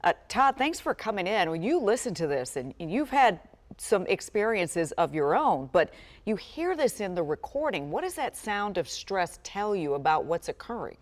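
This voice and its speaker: A woman's voice: mid-range voice, very animated, moderate pace. A woman anchoring a TV news segment in a studio, polished and conversational.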